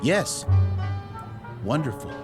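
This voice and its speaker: A man's voice: mid-range voice, very animated, slow, over music. An actor playing a polite, obedient, literal young male robot ballplayer in a radio drama, giving short dutiful replies. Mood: naive, polite.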